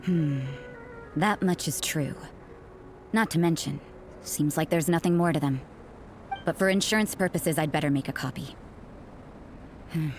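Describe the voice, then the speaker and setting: A woman playing a stern, cynical young nun in a video game, guarded and suspicious, with a cool, sardonic, deliberate delivery. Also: sits low, soft background music. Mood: grudgingly satisfied. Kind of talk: English video game character dialogue.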